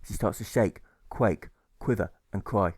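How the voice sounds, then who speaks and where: A man's voice: fairly low pitch, unhurried, darkish tone, breathy edge, no echo. Dry-sounding man narrating rhyming prose. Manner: rhythmic, rhyme-stressed delivery. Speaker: author reading his own novel. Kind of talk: rhyming scripted audiobook narration.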